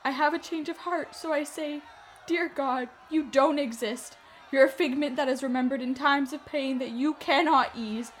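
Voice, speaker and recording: A woman's voice: sits high, steady tone, moderate pace, slight background noise, lightly acted. An actress playing an anguished, defiant female captive in a radio play, speaking with impassioned declamation. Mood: bitter defiance.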